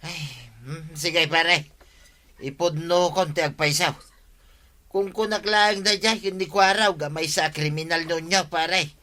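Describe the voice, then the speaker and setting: Sheepish, bright-voiced villager. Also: male voice, fairly high pitch, a little slow. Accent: Ilocano. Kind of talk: comedy radio drama acting.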